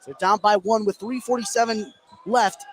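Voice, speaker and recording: A man doing live radio play-by-play of a basketball game, getting excitable on big plays. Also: sits high, normal speed, slight background noise. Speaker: play-by-play commentator.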